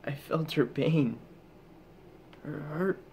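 A man performing a dramatic monologue as a haunted, guilt-ridden soldier back from war, halting and intense, with heavy pauses. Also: on the high side, gravelly, darkish tone, slight background noise. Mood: grieving, guilty.

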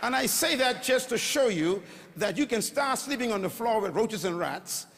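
High-voiced Bahamian preacher in a reverberant hall. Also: male voice, animated, moderate pace, slight background noise. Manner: preaching with call-and-response, rising to shouts. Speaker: pastor. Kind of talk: sermon. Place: large auditorium stage. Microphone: handheld mic.

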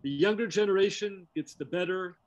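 A man's voice: sits high, a little fast, muffled recording. A middle-aged man giving scripted scholarly narration over a video call. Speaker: lecturer and presenter. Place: indoor room with bookshelves, on video call.

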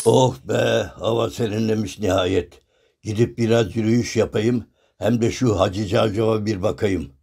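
A man playing a blunt, grumpy but loyal common man in a children's cartoon, with a gravelly, husky voice and folksy speech. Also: lowish voice, fairly even intonation, moderate pace, a little mellow. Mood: relieved. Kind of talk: animated children's cartoon acting.

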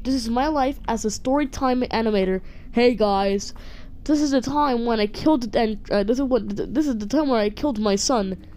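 A woman's voice: a little high, moderate pace, darkish tone. A woman improvising a comedic amateur voice-over for an animation, rambling, repeating herself and swearing.

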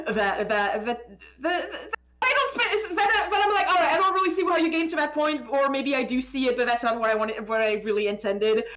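Woman game dev chatting on stream, animated, keeps cutting herself off, kinda dull lo-fi sound. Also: fairly high pitch. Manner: animated, self-interrupting, stammers over words. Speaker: game developer being interviewed. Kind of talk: casual live stream chat.

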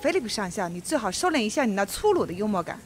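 A man's voice: sits high, very animated, racing. An actor playing a stern, formal old male judge in a radio drama. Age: older.

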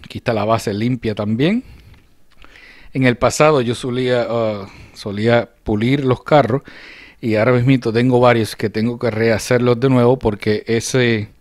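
A man narrating a casual hands-on demonstration as a channel host, often halting to search for words. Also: medium pitch, fairly even intonation, a little mellow, no echo, clean studio sound. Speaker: host of a diecast restoration channel. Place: indoors at a hobby table.

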